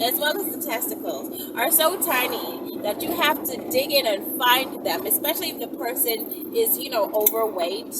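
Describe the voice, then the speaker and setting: A woman hosting a casual, improvised question-and-answer video, chatty and thinking aloud, often checking in with her listeners. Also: on the high side. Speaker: online video host answering viewer questions. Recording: distant mic, loud vehicle-like background noise.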